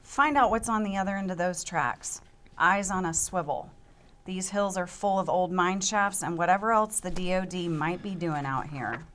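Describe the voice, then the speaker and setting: Woman as a tough, low-voiced team boss. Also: fairly bright tone, natural voice. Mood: commanding. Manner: brisk, commanding. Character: tough, all-business female forensic team boss, forty. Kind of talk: screenplay table read.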